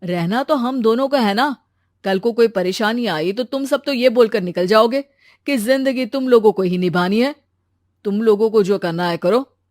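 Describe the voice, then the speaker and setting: A woman voicing an earnest, reasonable young man looking for a bride, speaking in a measured way. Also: on the high side, a little mellow, no room sound, clean studio sound, natural voice. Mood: persuasive.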